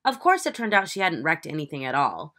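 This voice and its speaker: A woman with a fairly low, bright voice reads to children as their teacher. Her delivery is animated and swings widely in pitch. She explains and narrates with clear emphasis. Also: brisk. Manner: animated, instructive, emphatic storytelling. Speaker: teacher reading a picture book aloud. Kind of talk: expressive children's read-aloud narration.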